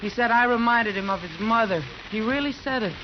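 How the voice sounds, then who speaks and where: A woman's voice: fairly high pitch, brisk, natural voice. An actress playing a tough, wry saloon woman in a radio western, with a dry sardonic manner. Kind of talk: 1950s radio western acting. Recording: hissy, muffled old radio recording.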